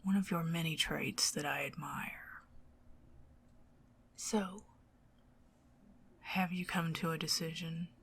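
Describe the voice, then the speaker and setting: A woman's voice: on the low side, slightly rough, exaggerated voice. A woman playing a whispering, possessive goblin queen. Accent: Southern US. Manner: soft teasing whisper. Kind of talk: ASMR roleplay.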